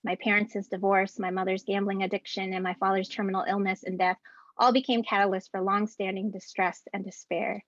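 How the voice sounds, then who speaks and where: A woman in her thirties, an educator, giving a prepared ceremony speech from home over a video call in an earnest, deliberate way, reading out rhythmic lists. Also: mid-range voice, level tone, dry recording. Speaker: keynote speaker and educator. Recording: dull, dry video-call audio.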